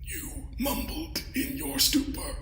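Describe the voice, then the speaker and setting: An ancient, sinister creature speaks in a high voice that swoops about. It is courteous and cryptic, a captor from an audio drama, heard in an echoing space. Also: male voice, big pitch swings, vocal fry, fairly bright tone, full character voice. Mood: calmly menacing. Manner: slow, polite, cryptic.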